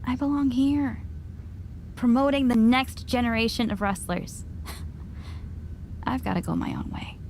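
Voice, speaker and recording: A woman's voice: on the high side, unhurried, gravelly, pauses often, a little mellow, a little hiss or hum. An actress playing a teasing, affectionate woman wrestling promoter in a video game, speaking conversationally. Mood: fond, bittersweet. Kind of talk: video game cutscene acting.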